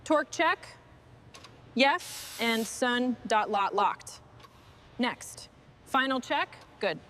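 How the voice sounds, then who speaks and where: A woman's voice: fairly high pitch, expressive, drawn-out words, fairly bright tone. A woman giving short, procedural work commentary as she restores machinery.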